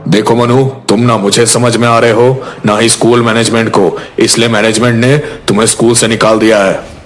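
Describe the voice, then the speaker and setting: A man's voice: mid-range voice, flat delivery, normal speed, lightly acted. A man playing a stern schoolteacher in a Hindi moral story. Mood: disapproving. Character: stern male schoolteacher scolding a noisy class.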